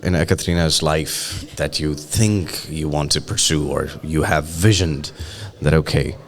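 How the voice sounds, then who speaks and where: A man's voice: a bass voice, steady tone, unhurried. A man hosting a podcast interview, asking questions and giving encouraging responses. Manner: encouraging, many short backchannels. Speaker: podcast host. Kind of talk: casual interview.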